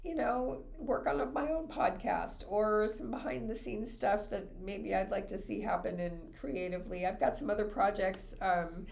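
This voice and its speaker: A woman in her fifties with a raspy voice, a radio broadcaster chatting as an interview guest. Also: medium pitch, normal speed, few pauses, slight background noise. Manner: chatty, animated storytelling. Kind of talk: interview conversation.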